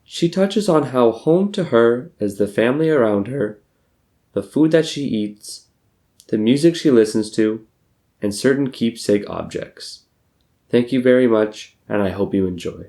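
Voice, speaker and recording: A man hosting the show and introducing the next segment in a polite, measured, scripted way. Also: mid-range voice, animated, mellow.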